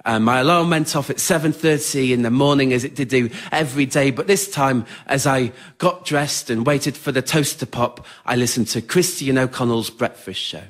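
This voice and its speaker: A man's voice: medium pitch, normal speed, hardly any pauses. A man tells an autobiographical story to a theatre audience in an understated, wry, plain-spoken way, as the writer and performer of a solo show. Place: theatre stage in front of an audience.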